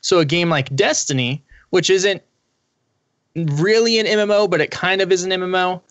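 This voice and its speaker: A man's voice: high-pitched, moderate pace, fairly bright tone, no echo, highs cut off. A man talking casually as a panelist in a gaming discussion. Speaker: podcast panelist.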